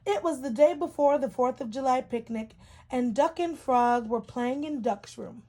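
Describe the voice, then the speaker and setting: A woman reading a children's story aloud in an expressive, deliberate storytelling style for young children. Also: sits high.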